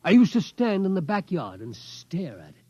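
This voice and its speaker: An actor playing an obsessed, determined old tycoon in a 1950s radio drama, reminiscing and insistent. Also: male voice, sits high, huge pitch swings, moderate pace, loud music behind the voice. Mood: wistful, defiant. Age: older. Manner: reminiscing, insistent, tags sentences with "hmm?". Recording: dull 1950s radio recording.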